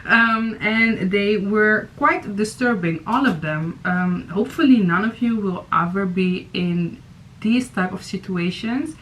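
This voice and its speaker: A woman's voice: medium pitch, measured pace, a bit bright, slight background noise, low-quality recording. A young woman hosting a reaction video, talking casually with many fillers and restarts. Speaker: YouTube reaction host.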